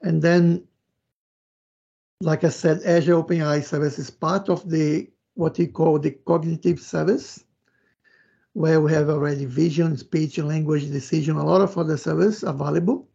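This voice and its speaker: A man's voice: a little high, steady tone, normal speed, vocal fry, warm tone, slightly rough. A man from Brazil with a Brazilian accent, giving an informal tech presentation in a conversational, loosely phrased way. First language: Portuguese. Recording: dull, muffled lo-fi audio.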